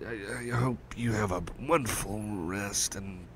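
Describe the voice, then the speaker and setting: A man playing a gentle cyborg friend, fry-edged. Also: lowish voice, a little slow, background noise. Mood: soothing. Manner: soft, hesitant and rambling, with many fillers and restarts; drops to soft whispers at times. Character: gentle caring male cyborg friend, young adult. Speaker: ASMR roleplay creator. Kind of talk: ASMR roleplay, personal attention.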